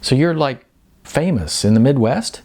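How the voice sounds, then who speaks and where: A male narrator puts on an intense young-at-heart actress character from an audiobook. She speaks earnestly and emphatically, pitched up with animated swings. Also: a little slow. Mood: astonished. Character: intense, frustrated woman, aspiring actress.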